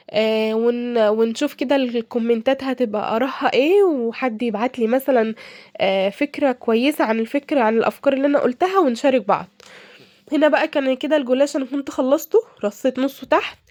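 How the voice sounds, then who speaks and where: A woman's voice: high voice, darkish tone, no room sound, studio quality. A young woman with an Egyptian accent, congested from a cold, chatting casually with many fillers as she cooks and gives advice. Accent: Egyptian Arabic. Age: young adult. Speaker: cooking vlogger. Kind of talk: casual advice while cooking.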